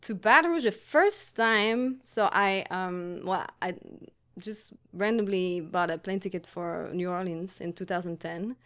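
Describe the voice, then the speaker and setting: A woman's voice: on the low side, measured pace, fairly bright tone. A middle-aged woman from Montreal with a Quebec French accent, a podcast guest chatting casually and hesitantly with many fillers. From Montreal, Canada. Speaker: podcast guest, artist and writer. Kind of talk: casual interview chat.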